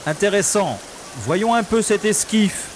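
A man's voice: high-pitched, measured pace, background noise. A man playing a wisecracking male secret agent in a French science-fiction audio drama, with dry, ironic delivery. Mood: narrating calmly. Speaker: lead character in an audio drama. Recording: rain and water sound effects behind.

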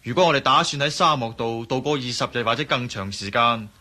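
An actor playing a cocky French viscount and desert guide in a Cantonese radio drama, speaking Hong Kong Cantonese with a blunt, self-assured manner. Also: male voice, mid-range voice, fairly quick, bright, forceful tone. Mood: blunt, scolding.

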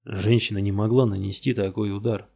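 A man's voice: fairly low pitch, little pitch movement, brisk, creaky, warm tone, husky. A man doing a tired, blunt police detective. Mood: weary. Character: weary, hard-pressing male police detective.

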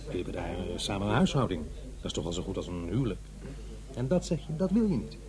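A man's voice: sits low, big pitch swings, fast, a crowd in the background. An actor playing a practical, sceptical middle-aged divorced father in a Netherlands Dutch radio play, both talking and narrating. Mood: probing, defensive.

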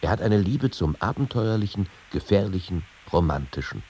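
An actor reading as an admiring contemporary witness in a literary radio feature. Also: male voice, deep voice, expressive, moderate pace, mellow, exaggerated voice. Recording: music playing clearly behind.

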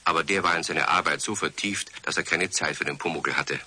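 A man playing the storyteller of a children's radio play, narrating expressively with a husky voice. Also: sits low, fairly quick, pushed and bright, full character voice. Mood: lively storytelling. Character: male storyteller of a children's tale.

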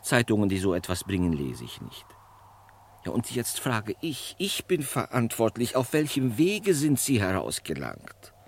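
A man's voice: on the low side, wildly swooping pitch, a little slow, full character voice. An actor playing an officious, rule-bound cemetery watchman in an old German radio play, pedantic and stiffly polite. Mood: pedantic, fussy. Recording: old radio recording, faint noise.

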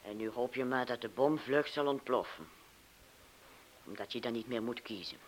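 A man's voice: medium pitch, normal speed, a little hiss or hum, lightly acted. A man acting in a radio play as a disillusioned, talkative young partygoer with a probing, cajoling manner. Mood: hushed, probing.

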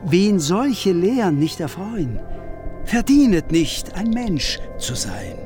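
A solemn, lofty ruler in a radio play. He declaims slowly with a widely moving pitch, over clear background music. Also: very animated, a little mellow, exaggerated voice. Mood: solemn, benevolent. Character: solemn, moralising authority giving a blessing. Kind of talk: German radio drama, declaimed verse.

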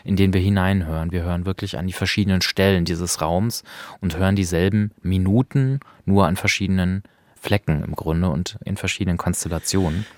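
A man's voice: low-pitched, fairly even intonation, a little fast, no echo. A man co-hosting a radio podcast, talking reflectively and explaining as he searches for his words. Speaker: podcast co-host. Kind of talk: conversational radio discussion of audio drama. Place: dry radio studio. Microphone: close studio microphone.

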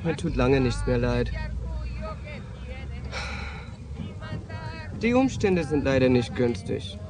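A man's voice: mid-range voice, sing-song, normal speed, noisy background. An actor playing a flirtatious, world-weary transgender woman sex worker in a radio drama, teasing and frank, with campy endearments. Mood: bitter, resigned. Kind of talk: dialogue-driven radio drama acting.

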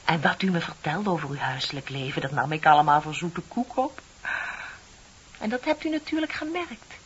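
An actress playing a bitter, composed office clerk in her thirties in a radio play, speaking in a deliberate, pointed, theatrical way. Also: low-pitched, expressive. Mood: wistful, bitter. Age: middle-aged. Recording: dull, hissy old radio play recording.

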